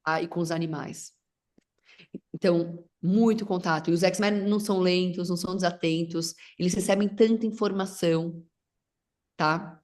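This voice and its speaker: A woman's voice: lowish voice, fairly even intonation, normal speed, a little mellow. A middle-aged woman whose first language is English, talking casually as a facilitator guest, rambling with restarts and English words mixed in. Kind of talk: casual conversation.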